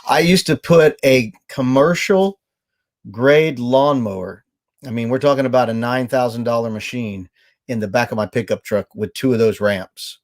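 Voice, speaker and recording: A man's voice: medium pitch, normal speed. A nasal man in his fifties, co-hosting a casual livestream from an RV couch, telling stories and reading out viewer comments. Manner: rambling storyteller, reads viewer comments aloud. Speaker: livestream co-host. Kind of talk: casual live chat. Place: living room of an RV, on a couch. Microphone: webcam framing, seated.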